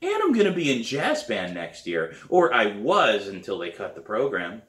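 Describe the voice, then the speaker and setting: A man's voice: mid-range voice, huge pitch swings, moderate pace, a bit bright, recorded from a distance. A man voicing an eager, boastful young girl drummer in a storybook reading, pleading and insistent. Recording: roomy, echoey living room.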